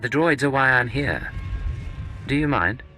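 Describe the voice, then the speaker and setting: An actor playing a cool, deceitful secret agent in a video game, with a curt and threatening manner. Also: male voice, medium pitch, animated, measured pace, bright, forceful tone, faint music behind. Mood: smooth, bluffing.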